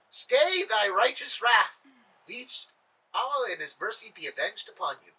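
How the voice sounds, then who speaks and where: A man's voice: very high voice, bright, forceful tone, husky, exaggerated voice. A man voicing a cunning male trickster who pleads in flowery, mock-humble words, recorded from a distance.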